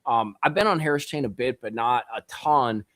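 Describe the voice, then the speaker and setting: A man, a guest angler on a podcast, talking in an excitable, loose way, full of bro and dude. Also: mid-range voice, normal speed, no echo, studio quality. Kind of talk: casual podcast chat.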